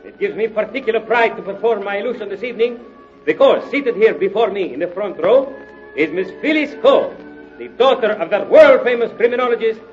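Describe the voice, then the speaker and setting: Courtly theatrical magician, old-time radio drama, high voice, muffled vintage recording. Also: male voice, lively intonation, brisk. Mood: grand. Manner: pompous, theatrical, formal phrasing. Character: grandiose, courtly male stage magician. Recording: dull old radio recording, music behind.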